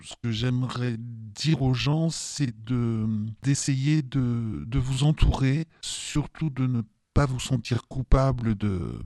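A man in his fifties gives a spontaneous personal testimony. His voice is soft-edged and mellow, recorded very dry. He hesitates and often repeats words while finding his phrasing. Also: mid-range voice, slow, no room sound. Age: 50s. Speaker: testimony guest with lived experience of depression.

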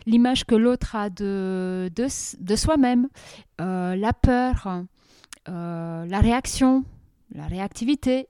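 A woman in her forties gives a spontaneous interview answer. Her voice sits a little high, with a mellow tone. She speaks haltingly, searching for words. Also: smooth, no echo, clean studio sound. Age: 40s. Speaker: street interviewee.

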